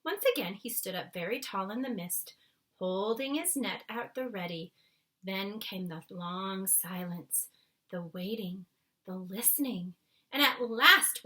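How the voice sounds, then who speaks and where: A woman reading a children's book aloud as narrator, with dramatic, expressive phrasing. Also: mid-range voice. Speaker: storybook reader.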